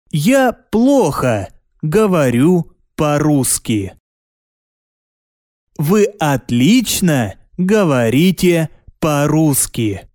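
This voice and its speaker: A man reading a language lesson aloud, pronouncing each word deliberately and clearly. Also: fairly high pitch, darkish tone, no room sound, clean studio sound. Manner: carefully spaced, deliberate pronunciation. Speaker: language teacher.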